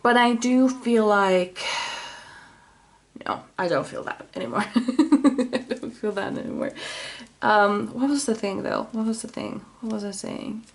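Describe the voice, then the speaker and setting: A woman's voice: a little high, measured pace, vocal fry. A woman with a Finnish accent, a native Finnish speaker, giving a casual tarot reading to camera. She rambles, corrects herself and keeps apologizing. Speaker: tarot reader.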